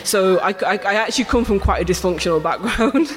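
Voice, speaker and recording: High-voiced man, lively storyteller. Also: brisk. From England. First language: Urdu. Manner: animated, laughing storyteller. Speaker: photographer guest. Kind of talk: live stage conversation. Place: arts venue stage before a live audience. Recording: live hall reverb with faint ambience.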